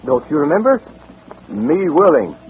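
An actor playing an easygoing, wry, folksy cowboy in an old western radio comedy. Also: male voice, on the high side, sing-song, unhurried. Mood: concerned. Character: easygoing, wisecracking singing cowboy. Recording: noisy, tinny old radio recording.

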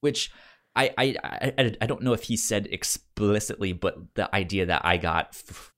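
A podcast co-host in a casual comics discussion, halting and often repeating or stumbling over words. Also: sits low, fairly bright tone.

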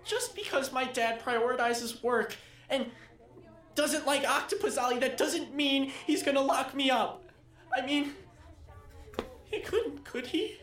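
A woman's voice: high-pitched, measured pace, fairly bright tone, distant mic. A woman acting in an audio drama as a timid, anxious twelve-year-old boy. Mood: upset, doubtful. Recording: roomy, off-mic student audio drama.

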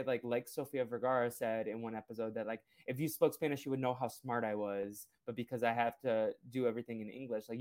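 Young male podcast host, first language Portuguese, mid-pitched, rambling casual chat. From Brazil. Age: 20s. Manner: relaxed, rambling, many fillers.

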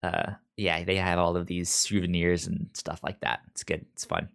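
A man co-hosting a casual comic book discussion, enthusiastic, with a halting delivery that repeats words mid-thought. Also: deep voice, creaky. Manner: enthusiastic, animated, breaks into mock quotes. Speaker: podcast co-host.

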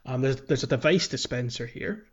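A man's voice: mid-range voice, normal speed, husky, highs cut off. A man hosting a podcast, explaining things step by step in casual gaming chat. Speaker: podcast host. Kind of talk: casual game walkthrough chat.

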